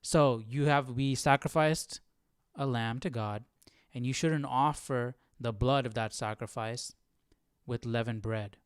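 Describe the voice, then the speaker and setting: A man's voice: medium pitch, fairly even intonation, measured pace, clean tone, no echo, clean studio sound. A man hosting a casual live Bible study, thinking aloud, questioning and often repeating phrases.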